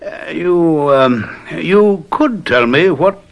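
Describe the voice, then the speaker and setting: An urbane, shrewd man of detection in an old radio mystery drama. His delivery swoops up and down as he muses, pauses and teases with condescending courtesy. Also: a little high, lively intonation, vocal fry, lo-fi sound, heavily acted. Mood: musing, teasing. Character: urbane, shrewd male detective, teasing and self-assured.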